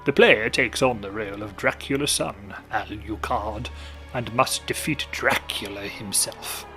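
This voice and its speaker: A man narrating a spooky tale in a theatrical, genteel, measured way. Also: on the low side, sharp tone. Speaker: storyteller host. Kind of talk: scripted narration. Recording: music behind.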